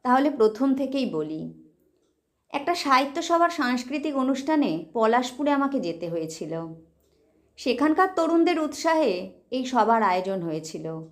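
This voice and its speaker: A woman narrating a dramatic scripted audio story in a measured, expressive way, with deliberate pauses. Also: high voice. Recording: roomy, reverberant voice track.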